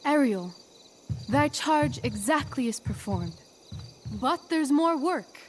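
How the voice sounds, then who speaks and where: Teenage girl playing a commanding stage sorceress, unhurried. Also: high voice, lively intonation, slight background noise. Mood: imperious. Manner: imperious, deliberate archaic delivery. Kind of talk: theatrical Shakespearean stage recitation in a game cutscene.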